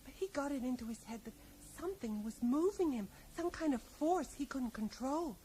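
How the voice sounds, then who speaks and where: High-voiced American widow. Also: female voice, lively intonation, normal speed, mellow. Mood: matter-of-fact, reflective. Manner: rambling, ends remarks with tag questions. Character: plain-spoken small-town widow, resigned and insecure. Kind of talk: radio drama dialogue. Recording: faint noise, 1980s radio play.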